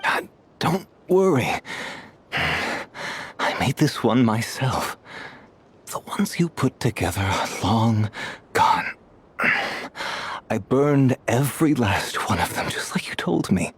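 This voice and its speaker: An actor playing a weary, ailing man in a video game, gentle, halting, fond and resigned. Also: mid-range voice, sing-song, husky, full character voice. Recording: studio voice over game music. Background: background music.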